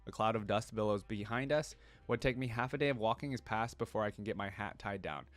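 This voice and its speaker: A man's voice: fairly low pitch, brisk, fairly bright tone, no echo. Man reading aloud and reacting, dry sound. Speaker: reaction video host. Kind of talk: story read-aloud with casual reaction commentary.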